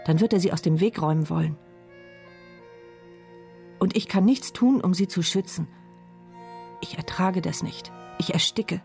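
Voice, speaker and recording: A woman's voice: on the low side, moderate pace, gravelly, pauses often, a little mellow, soft background music. An actress playing a paralysed, bitterly sarcastic woman who narrates her thoughts as an intense inner monologue in a radio drama. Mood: anguished.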